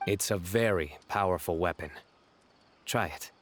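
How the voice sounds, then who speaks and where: A man playing a stern, solemn young warrior in a video game, speaking in a deadpan, measured, grandiose way. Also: low voice, background noise. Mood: earnest, amiable.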